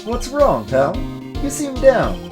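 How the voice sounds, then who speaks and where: A chummy, boastful male detective from a video game, voiced by a man playing through it. The pitch moves freely up and down, with music faintly behind. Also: huge pitch swings, slow pace, heavily acted. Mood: teasing. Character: breezy, cocky male police detective in a game.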